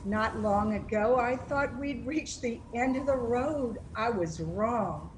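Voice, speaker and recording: A woman playing a slow, earnest female disciple. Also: fairly high pitch, background noise. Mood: awed. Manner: earnest, measured. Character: devoted, grieving and hopeful female disciple of Jesus. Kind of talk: church dramatic reading.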